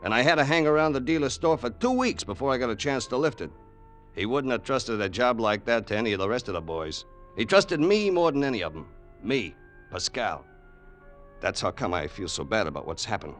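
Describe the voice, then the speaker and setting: A man's voice: mid-range voice, big pitch swings, a little fast, fairly bright tone, faint music behind. An actor playing a loyal, regretful henchman in an old radio crime drama, with an American accent and slangy tough-guy speech. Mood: remorseful, confiding. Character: loyal, remorseful racketeer's henchman, plain-spoken tough guy. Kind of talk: old-time radio drama acting and narration.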